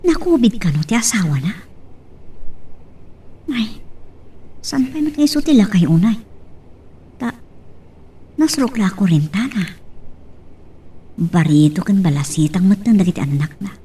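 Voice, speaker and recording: A woman with an Ilocano accent playing an eager, expressive mother working abroad as a maid in an amateur audio drama. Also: medium pitch, a little slow, pauses often, slightly rough. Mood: musing. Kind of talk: amateur Ilocano radio-style drama acting. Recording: distant, off-mic home recording.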